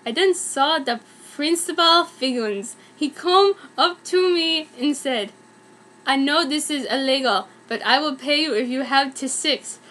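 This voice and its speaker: A woman's voice: high voice, unhurried, a bit bright. A young woman giving a dramatic, mock-earnest comic reading of a fan story, misspellings and all. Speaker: fan fiction reader.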